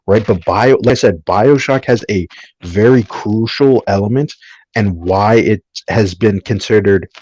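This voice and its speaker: Man, low voice, podcast panelist, opinionated, casual discussion, frequent tag questions, dry muffled audio. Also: a little slow, darkish tone, dry recording. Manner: opinionated, often seeks agreement with tag questions.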